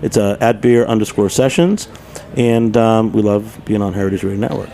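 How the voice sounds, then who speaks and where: A man's voice: fairly low pitch, normal speed, creaky, mellow. A man chatting casually as a guest in a radio interview. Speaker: radio show guest, bar owner.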